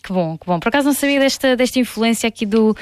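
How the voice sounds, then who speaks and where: A woman's voice: on the high side, animated, quick pace, no echo. A woman with a European Portuguese accent hosting a music interview on the radio. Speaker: radio host.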